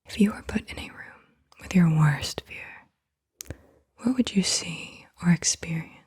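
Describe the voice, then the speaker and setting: A woman hosting ASMR, speaking softly and deliberately in a breathy whisper. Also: fairly low pitch. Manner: soft-spoken, deliberate questioning. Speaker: ASMR host.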